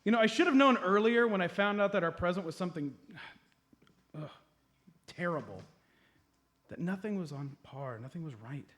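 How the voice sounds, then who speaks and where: Male actor as an eager amateur sleuth, high voice, swooping delivery, theatrical stage radio comedy. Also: animated, moderate pace, pauses often, fairly bright tone. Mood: dejected. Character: eager, self-assured male amateur detective saving Christmas. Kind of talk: live comedy radio play acting. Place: live on a comedy club stage.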